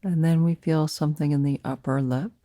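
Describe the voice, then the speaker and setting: A woman leading a guided meditation as a teacher, speaking softly and soothingly in short, unhurried phrases with pauses between them. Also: deep voice, steady tone, dry recording.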